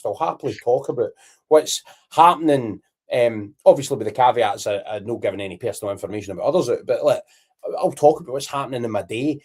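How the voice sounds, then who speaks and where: A man's voice: medium pitch, darkish tone, slightly rough. A Scottish man co-hosting a podcast, rambling candidly in casual chat, swearing freely and dropping Scots words. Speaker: podcast co-host.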